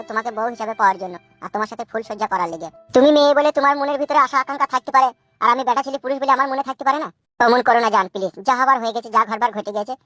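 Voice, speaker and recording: A man's voice: extremely high pitch, a bit bright, dry recording. A man voicing a coaxing, coarse new husband in a comic cartoon, theatrical and colloquial.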